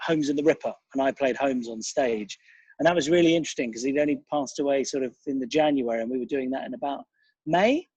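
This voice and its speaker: Middle-aged man, slightly rough husky voice, rambling anecdotes, thoughtful, dry close sound. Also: mid-range voice, a little mellow, no room sound, muffled recording. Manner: rambling, thoughtful, self-deprecating. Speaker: interview guest, writer, director and actor. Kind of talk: casual reflective interview anecdotes.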